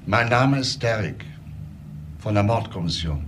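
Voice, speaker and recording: A calm police detective in a crime drama. His low voice probes with short, matter-of-fact questions, over faint background noise. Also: measured pace. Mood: businesslike. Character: calm, persistent male police homicide detective.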